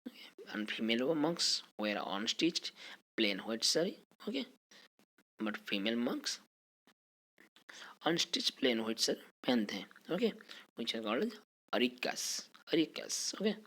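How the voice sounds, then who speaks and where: Indian history teacher doing exam prep lecture, halting delivery, pitch jumps around a lot, keeps saying okay. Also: fairly bright tone. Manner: halting, repeatedly checking in with "okay".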